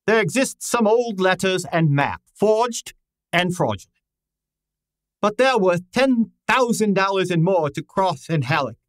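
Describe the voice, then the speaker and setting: A commanding radio-drama detective, played by a man, speaks slowly with theatrical swoops of pitch. The delivery is formal and pompous, fussy over every word, in a dry, close sound. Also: no room sound. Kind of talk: old-time radio detective drama acting.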